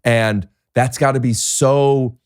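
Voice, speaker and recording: A man hosting a podcast in casual conversation, talking in halting stops and restarts with many fillers. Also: medium pitch, steady tone, no room sound, studio quality. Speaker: podcast host.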